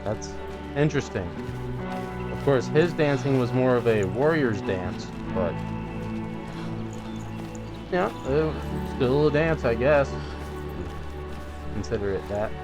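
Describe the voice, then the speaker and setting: A man's voice: medium pitch, lively intonation, takes it slowly, pauses often. A man hosting a let's play, musing aloud to himself in casual, offhand commentary. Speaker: gamer, let's play host. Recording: game music behind the voice.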